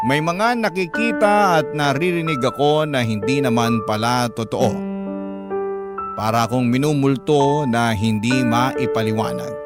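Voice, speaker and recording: A man's voice: medium pitch, measured pace, loud music behind the voice, lightly acted. A man playing a sorrowful, troubled Filipino father, narrating his story for radio drama in a reflective, earnest, plaintive way.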